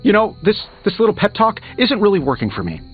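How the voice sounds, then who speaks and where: An actor playing a proud, guilt-ridden genius superhero in a video game, sarcastic, emphatic and hard on himself. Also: male voice, sits high, wildly swooping pitch, fast. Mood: cold, sarcastic. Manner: sarcastic, self-reproaching, emphatic. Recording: muffled game audio under music.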